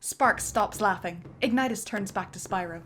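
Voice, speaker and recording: A woman's voice: medium pitch, normal speed, a bit bright, a little hiss or hum. A woman narrating script directions in a dramatic reading, building up to the action beats, with music underneath.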